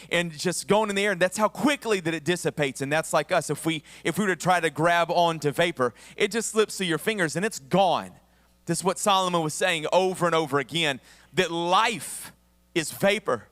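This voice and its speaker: Southern pastor preaching with a worn voice. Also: male voice, a little high, a bit bright, no echo, long reverb tail. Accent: Southern American. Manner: conversational preaching with asides and humor. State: voice somewhat worn, losing his voice. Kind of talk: sermon. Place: church sanctuary.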